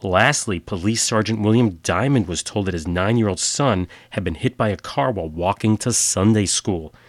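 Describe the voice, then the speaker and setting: A man with a very low voice tells strange true stories in a steady, matter-of-fact way. He sounds a little stuffy and nasal from a cold. The recording is close and dry, with no room sound. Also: moderate pace, dry recording. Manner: plain matter-of-fact delivery with filler uhs in sign-off. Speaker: podcast host. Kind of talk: scripted storytelling narration.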